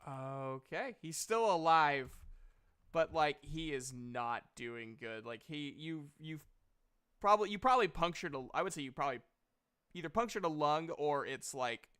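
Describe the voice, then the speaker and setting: Man, game master, clear bright voice, slightly high, unhurried casual narration. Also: animated. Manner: apologetic, self-conscious. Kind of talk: narrating and refereeing an online tabletop game, casual chat.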